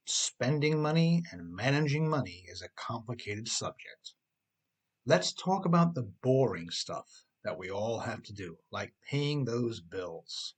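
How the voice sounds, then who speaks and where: Man, husky voice, unhurried pace, audiobook narration, conversational, emphatic phrasing. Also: mid-range voice. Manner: conversational, rhetorical questions, emphatic stresses. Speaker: author reading own book.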